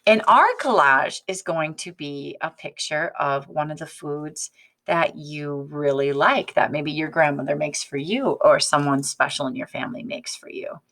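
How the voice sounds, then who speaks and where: A woman reading a picture book aloud like a teacher, expressive and instructive, addressing young children with questions. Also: sits low, normal speed.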